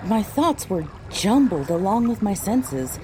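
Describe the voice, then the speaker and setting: A woman reading scripted first-person audiobook narration in a measured, dramatic storytelling manner. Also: mid-range voice, sing-song, dark tone, slight background noise. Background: music.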